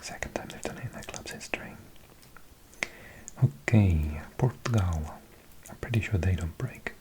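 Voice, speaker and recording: A Welsh man in his forties, whispering softly as an ASMR host, slowly reading out a list of results with chatty asides. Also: low-pitched, lively intonation, low-quality recording. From Wales.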